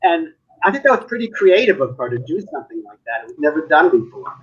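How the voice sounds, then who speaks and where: A New man chats and tells stories on a live video call, his voice husky and slightly breathy. The audio is a little dull and muffled. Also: a little high, sing-song, fast, a bit bright. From Manhattan. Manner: chatty storyteller, laughs often. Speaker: artist. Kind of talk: casual live-stream chat.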